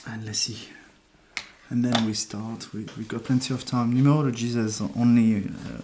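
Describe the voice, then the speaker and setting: A man hosting a casual live numerology stream, soft-spoken and hesitant as he thinks aloud. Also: medium pitch, steady tone, moderate pace, noisy background. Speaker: numerologist host.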